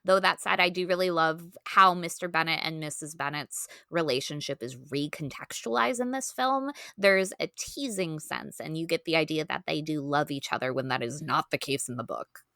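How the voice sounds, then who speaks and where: Low-voiced, bright woman discussing films. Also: moderate pace, few pauses, no echo, studio quality. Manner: articulate, analytical. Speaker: podcast panelist. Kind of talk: thoughtful film discussion.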